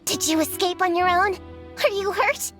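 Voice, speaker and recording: A woman voicing a small, excitable, childlike fairy companion in a video game, chatty and exclamatory. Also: extremely high pitch, moderate pace. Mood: curious, amazed. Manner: exclamatory, refers to herself in third person. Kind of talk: video game cutscene acting. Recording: music playing behind the voice.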